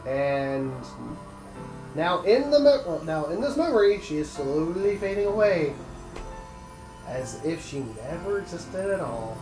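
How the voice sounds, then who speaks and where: A man co-commenting on a let's play with dry, deadpan quips. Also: a little high, unhurried. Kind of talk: casual game commentary. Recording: echoey room, game music behind.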